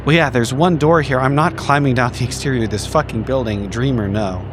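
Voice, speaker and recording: A man's voice: mid-range voice, brisk, background noise. A man playing a tabletop roleplaying game, improvising in character in an argumentative way and swearing casually.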